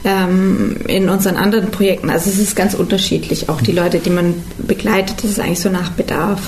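A woman in her twenties, a studio guest on a radio interview, explaining things calmly. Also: on the low side, a little fast, a little hiss or hum. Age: 20s.

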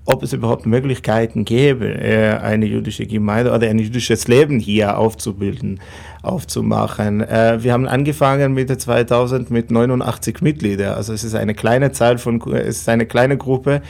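A man in his thirties with an Israeli accent answers interview questions in careful, non-native German. His voice has a gravelly, creaky edge, and he sits at an ordinary middle pitch. He pauses often to search for words. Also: steady tone, darkish tone. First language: Hebrew. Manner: halting, many fillers, non-native phrasing. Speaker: rabbi.

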